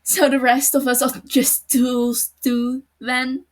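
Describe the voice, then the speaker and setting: A man's voice: extremely high pitch, monotone, takes it slowly, pitched above the performer's own voice. A woman voicing a grieving young detective.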